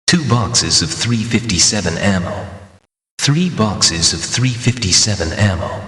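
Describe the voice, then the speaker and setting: A man reading scripted item names for a video game in a deliberate, matter-of-fact way. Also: sits low, a bit bright, reverberant, in a large hall. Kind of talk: scripted item-list narration.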